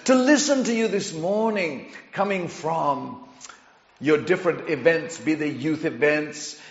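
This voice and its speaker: A man's voice: on the high side, animated, a little slow, creaky. A man from Chile with an English accent, preaching a sermon as a visiting pastor in an earnest, conversational manner with emphatic swells. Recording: dull, echoey hall sound.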